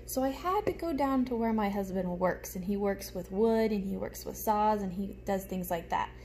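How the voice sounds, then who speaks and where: A woman's voice: mid-range voice, moderate pace, mellow, slight background noise. A young woman teacher giving a show-and-tell lesson to small children, explaining things simply and expressively. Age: young adult. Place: small room at home, indoors. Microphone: phone held close in front.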